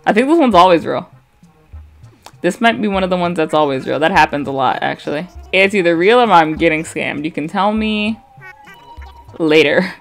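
A young woman from the American Midwest with a Midwest accent and a slight vocal fry, chatting bluntly and swearing while commenting on gameplay. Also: on the low side, normal speed, long pauses, soft background music. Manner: chatty, blunt, swears freely, reads game dialogue aloud flatly. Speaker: gaming streamer.

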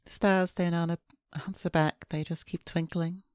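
Female streamer narrating a visual novel: deep for a woman, flat and subdued, dry close-mic sound. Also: moderate pace, clear, resonant tone, no echo. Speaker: VTuber streamer. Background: faint game music.

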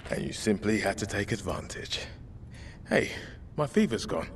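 Cheeky rogue, swinging delivery. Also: male voice, fairly low pitch, big pitch swings, normal speed, slight background noise. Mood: cheeky, coaxing. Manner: wry, bantering. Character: cheeky, roguish, unflappable man, a wounded survivor. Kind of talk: dramatic video game cutscene acting. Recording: music underneath.

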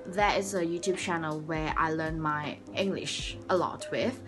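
A woman's voice: deep voice, a little slow, over music. A young woman from Sabah with a Malaysian accent whose first language is Malay, talking to camera in an earnest, rambling way, full of restarts, in a small room. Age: young adult. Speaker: vlogger. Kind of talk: casual talk to camera. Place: small room indoors, by a door. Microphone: front-facing camera at arm's length.

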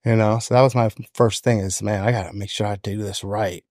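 A man's voice: fairly low pitch, fairly even intonation, fairly quick, darkish tone, no room sound, clean studio sound. A man in his fifties with an American accent, telling personal stories casually as a podcast guest. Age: 50s. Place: indoor podcast studio. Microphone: close studio microphone on a stand.

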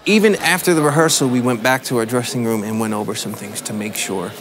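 A man's voice: mid-range voice, fast talker. A man, a band musician, talking thoughtfully in a backstage interview indoors, often searching for words.

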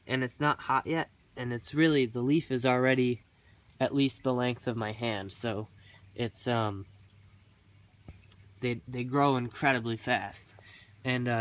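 A man's voice: mid-range voice, fairly bright tone. A young man giving a casual tour of his backyard garden while filming, talking with many ums and uhs. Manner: halting, many ums and uhs, chuckles at own jokes. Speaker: gardening vlogger. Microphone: handheld camera mic, filming himself off screen.

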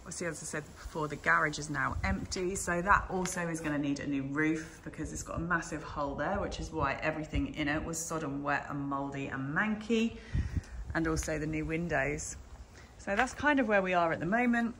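Woman vlogger, low gravelly tone, casual house-tour chat, noisy phone audio. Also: brisk, fairly bright tone. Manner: chatty, frequent "um" and "so". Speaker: vlogger touring a house renovation. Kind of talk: casual walkthrough narration. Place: empty stripped-out house, indoors.

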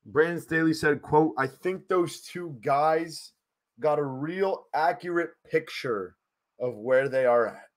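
Male, twenties, higher-pitched, casual fan-stream host, voice starting to go. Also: slow pace. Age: 20s. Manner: informal, addresses viewers as guys. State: losing his voice. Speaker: livestream host. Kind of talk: casual fan livestream chat. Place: small home room, indoors. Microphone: close desk microphone.

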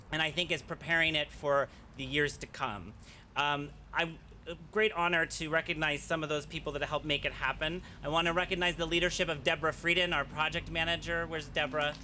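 A man in his thirties, an arts official, giving an earnest, slightly halting speech of thanks at an outdoor podium. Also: on the high side, noisy background. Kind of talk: ceremony speech with thank-yous. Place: outdoor plaza at a groundbreaking. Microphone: podium mics.

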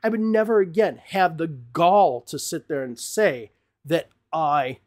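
Middle-aged male host, higher-pitched voice, emphatic ranting commentary, repeats words, close desk mic. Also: expressive, unhurried. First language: English. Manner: gestures broadly with hands while talking. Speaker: English teacher and channel host. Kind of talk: opinionated commentary to camera. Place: small home studio room with bookshelves, indoors. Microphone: podcast mic on desk in front of him.

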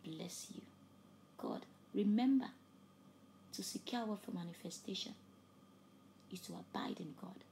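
A woman's voice: fairly low pitch, creaky, a little hiss or hum. Fervent woman preaching. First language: Yoruba. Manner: fervent, rising to loud emphatic peaks, then dropping to hushed near-whispers. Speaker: preacher and host. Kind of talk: preaching a sermon with an altar call.

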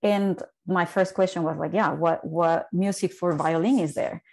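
A woman's voice: lowish voice, fairly even intonation, normal speed, a little mellow. A woman, a violinist speaking on a video call from home through earphones, thoughtful, with many fillers and restarts. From Bolivia. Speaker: violinist, quartet founder. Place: home living room. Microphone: wired earphone headset.

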